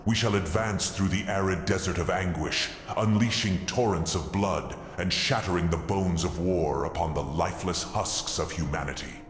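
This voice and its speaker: A very deep-voiced undead warlord, speaks with wrathful grandeur to his horde. His words are drenched in long, cavernous reverb. It is an ominous villain speech from a fantasy audio drama. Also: moderate pace, hardly any pauses, a little hiss or hum.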